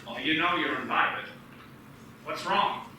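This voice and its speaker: An actor playing a kind, earnest small-town banker in an amateur radio play. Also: male voice, on the high side, slow, bright, forceful tone, slight background noise, heavily acted. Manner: teasing and friendly, then frantic. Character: earnest young man, kind banker, panics easily. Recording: echoey room from a distance.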